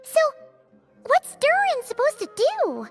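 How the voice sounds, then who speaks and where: A woman voicing a small, naive and eager fairy companion in a video game, with a squeaky childlike voice. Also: huge pitch swings, slow pace, loud music behind the voice, full character voice. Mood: puzzled.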